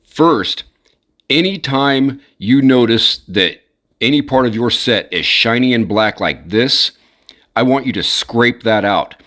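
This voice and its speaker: A man's voice: medium pitch, expressive, slow pace, bright, forceful tone. A man giving instructional commentary, speaking directly and encouragingly as he coaches. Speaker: instructor and video host.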